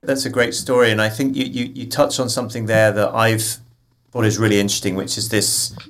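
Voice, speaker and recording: A man interviewing a guest, asking long questions in a halting way with many restarts. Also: on the low side, flat delivery, a bit bright. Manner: halting, restarts his sentences.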